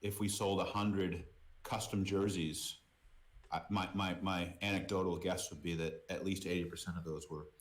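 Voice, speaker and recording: A man's voice: low-pitched, steady tone, normal speed. A middle-aged man from Boston with an American accent, talking casually as an interview guest, repeating words and often saying "you know". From Boston, United States. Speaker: podcast guest, merchandise business executive.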